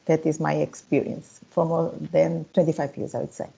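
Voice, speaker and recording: A woman with a low, mellow voice talks in a flat, even tone. She halts and searches for words while introducing herself on an online panel. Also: moderate pace, vocal fry, husky. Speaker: analytics professional, panelist. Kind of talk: self-introduction on a video call.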